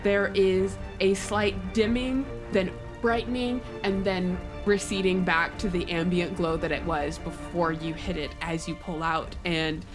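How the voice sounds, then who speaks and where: A woman with a lowish voice narrates a tabletop roleplaying game. She speaks slowly and thoughtfully, measuring out her descriptions. Music plays clearly behind her. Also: fairly even intonation. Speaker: player running a creature.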